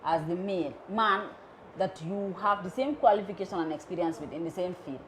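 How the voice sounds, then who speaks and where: A woman's voice: lowish voice, fairly quick, a bit bright, a little hiss or hum, poor audio. A woman, an electrical engineer speaking as a guest in a TV interview, earnest and emphatic. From The Gambia. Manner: earnest and emphatic, slipping local words into her English. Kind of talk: live TV interview.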